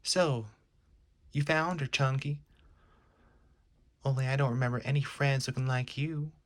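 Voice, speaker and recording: A man's voice: mid-range voice, fairly even intonation, a little slow, dry recording, lightly acted. A man voicing a brash woman fortune-teller at a carnival, sassy and curt with old-fashioned tough slang. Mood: sassy, dismissive.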